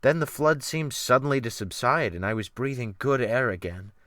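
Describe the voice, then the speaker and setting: A man performs dramatic literary narration at a medium pitch with lively, wide intonation. His phrasing is deliberate and theatrical, recorded close and dry. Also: no room sound. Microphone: close USB desktop microphone.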